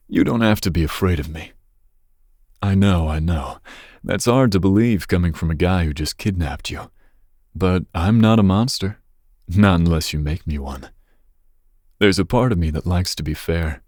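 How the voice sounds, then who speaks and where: A man playing a dangerous, possessive young mafia boss's son in an intimate ASMR roleplay, speaking breathily with smooth, teasing menace. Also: a little mellow, dry recording, studio quality. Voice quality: breathy, husky low voice. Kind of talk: ASMR roleplay, intimate second-person monologue.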